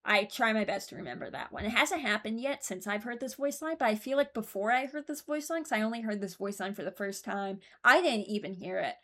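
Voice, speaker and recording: A woman's voice: mid-range voice, brisk, hardly any pauses, a bit bright. A young woman hosting a video with casual, informal commentary on game voice lines, rambling and often interrupting herself. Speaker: streamer and video host.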